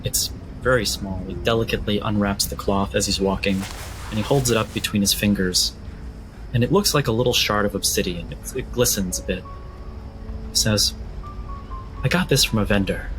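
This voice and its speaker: Guy game mastering a fantasy rpg, low voice, measured storytelling, clear music underneath. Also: rough recording. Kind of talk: narrating a tabletop roleplaying game.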